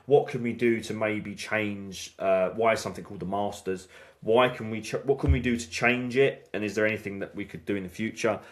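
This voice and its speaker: Halting snooker channel host, low voice. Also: male voice, fairly even intonation. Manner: hesitant, many restarts and fillers. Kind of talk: casual podcast chat.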